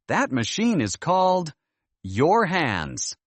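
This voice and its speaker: A man voicing a kindly, wise grandmother in a children's storybook, gently and expressively encouraging her grandchildren. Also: a little high, huge pitch swings, slow, no room sound, heavily acted. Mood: amused, wise.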